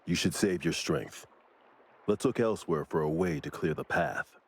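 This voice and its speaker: An actor playing a composed, serious investigator in an anime game, measured and matter-of-fact, with a gravelly voice. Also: male voice, deep voice. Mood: calm, serious. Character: composed, serious male investigator leading the team.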